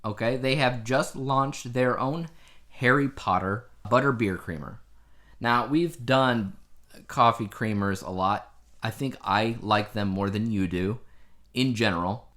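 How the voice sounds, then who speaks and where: Male podcast host, average pitch, loose conversational news chat, wry and rambling. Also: measured pace, fairly bright tone.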